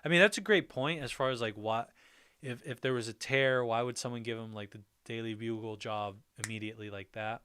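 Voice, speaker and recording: A man's voice: medium pitch, brisk, pushed and bright, clear, resonant tone. A man hosting a casual livestream, reading viewer comments aloud, thinking them over and laughing often between remarks. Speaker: livestream host. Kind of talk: casual livestream reaction chat.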